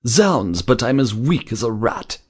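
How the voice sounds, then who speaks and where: A woman's voice: rumbling low, very animated, unhurried, darkish tone, husky, full character voice. A woman voicing a weary, good-humoured English baronet who speaks in sleepy, drawly, affected tones. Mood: languid. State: weak and exhausted. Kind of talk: audiobook character voice.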